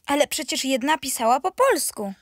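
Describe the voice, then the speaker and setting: A woman's voice: high voice, lively intonation, moderate pace, no echo, clean studio sound. A woman voicing an inquisitive, sceptical young grandson in a children's radio play.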